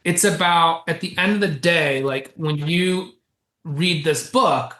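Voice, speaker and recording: A man, a co-author guest in an interview, who explains at length in an animated way and chuckles often. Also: on the high side, slow. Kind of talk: casual interview chat. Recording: roomy echo.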